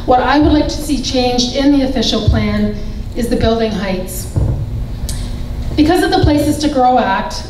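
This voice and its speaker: A woman running for council, giving a measured, explanatory answer in a debate. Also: on the high side, dark tone, a little hiss or hum. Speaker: election candidate. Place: public debate hall. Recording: echoey hall, distant.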